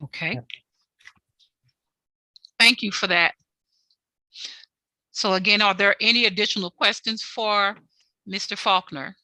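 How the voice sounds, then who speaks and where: A woman's voice: medium pitch, steady tone, slow pace, pauses often, bright, forceful tone, dry recording. A woman chairing a board meeting, moving business along with some hesitation and fillers.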